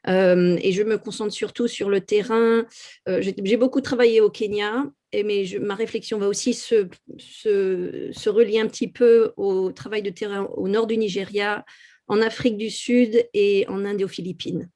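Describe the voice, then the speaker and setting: A woman's voice: medium pitch, fairly even intonation, moderate pace, warm tone, no echo. A woman academic, an anthropologist and geographer, introducing herself carefully on a webinar video call, with some restarts. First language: French through her mother.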